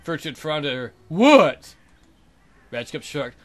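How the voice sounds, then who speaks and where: A man's voice: medium pitch, steady tone, fairly quick, fairly bright tone. A man hosting a let's play, reading visual novel text aloud and adding sarcastic, incredulous comic commentary.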